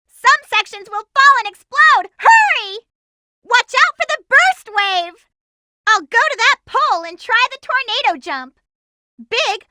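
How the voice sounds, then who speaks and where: A woman voicing an excitable, determined young girl heroine in a video game, with a girlish, perky, emphatic delivery. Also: dry recording, studio quality, full character voice. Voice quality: girlish, high-pitched. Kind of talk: animated video game character voice lines.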